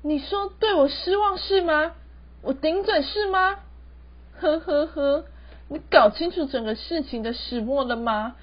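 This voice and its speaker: A woman's voice: high-pitched, a little slow. A teenage girl playing a proud, emotional young city wife who feels wronged, in an amateur radio drama with melodramatic delivery. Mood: furious. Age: teens. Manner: melodramatic, code-switching English words into speech. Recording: muffled lo-fi recording with background music.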